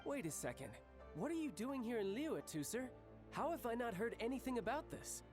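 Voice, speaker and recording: A man voicing a charming young man who is a doting, protective older brother, speaking smoothly and affectionately. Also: extremely high pitch, very animated, a little fast, music in the background. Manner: smooth, affectionate, gently scolding.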